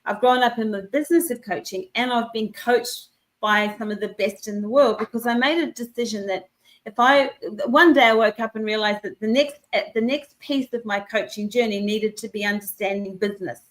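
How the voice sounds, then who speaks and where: A confident woman, talking on a video call. Her voice sits a little high, and she speaks in long, energetic runs with quick restarts, sure of her expertise. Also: low-quality recording. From New Zealand. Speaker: wellness and business coach. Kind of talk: conversational interview.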